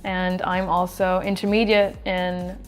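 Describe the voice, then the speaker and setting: A woman in her twenties from Sweden, an English teacher answering interview questions in a relaxed, chatty way. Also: on the low side, measured pace, soft background music. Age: 20s. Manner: relaxed, chatty, thinks aloud with fillers.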